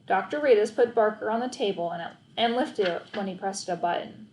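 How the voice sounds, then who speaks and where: A woman's voice: medium pitch, expressive, brisk, a little mellow, a little hiss or hum. A woman narrating a children's novel aloud as a storybook reader. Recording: echoey, roomy sound.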